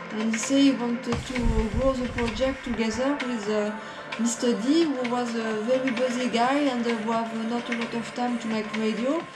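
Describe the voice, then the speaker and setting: A woman with a slightly high voice and a co-hosts an online radio broadcast. She pieces her story together slowly, with frequent fillers and restarts. The room is echoey and music plays behind her. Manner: halting, many ums and restarts. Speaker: radio show co-host. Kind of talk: casual spoken recollection.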